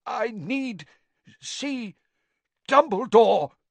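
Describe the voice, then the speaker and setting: A man's voice: very high-pitched, lively intonation, extremely slow, gravelly, no echo, voice pushed up, full character voice. A man voicing a crazed older male official who rambles and forces out every word with terrible effort, in a strained, broken-off way. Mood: desperate.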